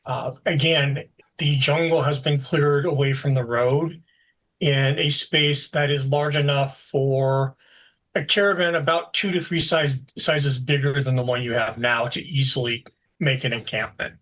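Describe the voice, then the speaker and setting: A man running a tabletop game as game master, narrating scenes in a relaxed, explanatory way with frequent fillers. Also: mid-range voice, a little slow, poor audio.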